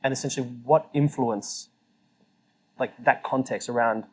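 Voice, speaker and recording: A man's voice: medium pitch, steady tone, a little slow, slight background noise. A man from Colombia hosting an interview, talking enthusiastically and rambling, often tagging "right?" onto his points. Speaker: interview host. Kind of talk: casual conversational interview.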